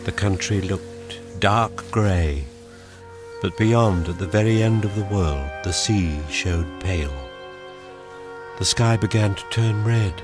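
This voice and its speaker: A man narrating a scripted story for a radio drama in a measured, descriptive way. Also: on the low side. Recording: prominent music behind the voice.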